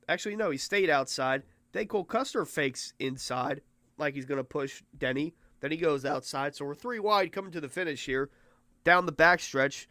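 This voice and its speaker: A hoarse man with a fairly high voice talks through a race recap in a loose, rambling way. He gets excitable as he goes, and the sound is dry and close. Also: dry recording. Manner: rambling and excitable, mimics officials in mock voices. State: hoarse, losing his voice. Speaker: racing podcast host. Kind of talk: casual race recap commentary.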